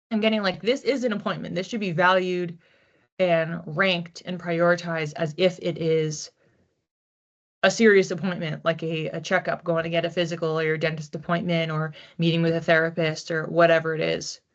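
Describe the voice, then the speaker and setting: Low-pitched woman, casual reader, slightly dull sound. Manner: informal, thinks aloud with frequent "like". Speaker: psychic reader hosting a weekly collective reading. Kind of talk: casual, conversational card reading to an audience.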